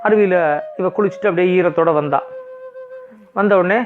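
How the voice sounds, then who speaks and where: A man's voice: high-pitched, normal speed. A man narrating a children's bedtime story informally, in simple conversational language. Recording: thin phone-like sound, music behind.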